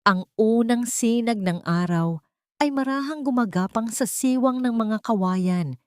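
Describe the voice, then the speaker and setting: A woman telling a scripted drama story in a measured, earnest way. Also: medium pitch, darkish tone, no echo, clean studio sound.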